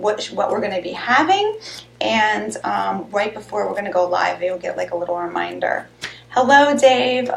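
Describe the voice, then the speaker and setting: A woman hosting a live health show, chatting casually and rambling a little, with a slightly hoarse, raspy voice. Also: mid-range voice, slight background noise. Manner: chatty, rambling, greets viewers by name. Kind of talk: casual live-stream chat. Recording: echoey room.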